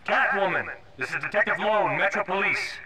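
An actor playing a commanding police detective in a video game, giving clipped orders over a noisy background. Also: male voice, high voice, lively intonation, fairly quick, crowd noise. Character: forceful police detective barking orders at a suspect. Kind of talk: video game cutscene acting.

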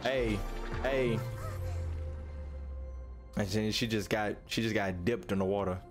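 A man's voice: on the low side, slow pace. A young man records casual reaction commentary indoors. His speech is slangy and he often repeats a phrase several times in a burst. Faint music from what he is watching plays behind him. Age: young adult. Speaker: reaction video host. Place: small room indoors at a streaming setup. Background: music from the trailers he watches.

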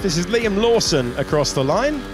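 A man commentates on motor racing in an excited style, his voice pitched very high. Music and car engines sit clearly behind him. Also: normal speed.

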